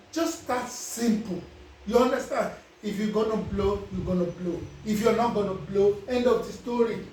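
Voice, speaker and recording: A man's voice: sits high, a little mellow, recorded from a distance, a little hiss or hum. A man with a Nigerian accent, giving casual life advice to his viewers and often asking if they understand. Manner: preachy, repeats "you understand?". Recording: very echoey, voice away from the mic.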